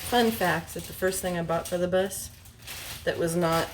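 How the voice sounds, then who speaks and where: A woman's voice: fairly low pitch, moderate pace, background noise. An Australian woman chatting casually to a vlog audience in a lightly joking way. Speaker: vlogger. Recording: music playing behind the voice. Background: radio music.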